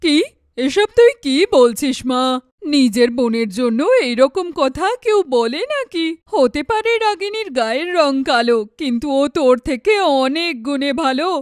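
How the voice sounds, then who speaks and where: Woman doing a high-voiced, firm middle-aged auntie. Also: lively intonation, moderate pace, no echo, studio quality. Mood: reproving. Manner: firm, reproving, motherly. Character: middle-aged mother and neighbour aunt, firm, scolding. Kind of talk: animated moral-story cartoon acting.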